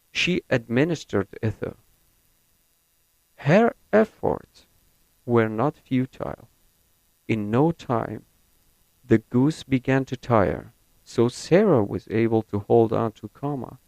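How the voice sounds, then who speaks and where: A young man from Syria whose first language is Kurdish reads a set passage slowly and carefully, then talks about himself. He speaks English with an American-leaning accent. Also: medium pitch, expressive, gravelly, a little mellow, no echo, low-quality recording. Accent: American-leaning non-native English. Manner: slow, careful and deliberate. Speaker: university student. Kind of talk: reading a set passage, then personal account.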